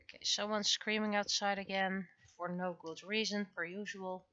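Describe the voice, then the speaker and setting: A woman gives casual, think-aloud commentary as she works on digital art. Her voice is bright and forward at a middling pitch. It sounds close and dry, through a slightly lo-fi microphone. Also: flat delivery, normal speed, dry recording. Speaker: livestreaming webcomic artist. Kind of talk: casual livestream commentary while drawing.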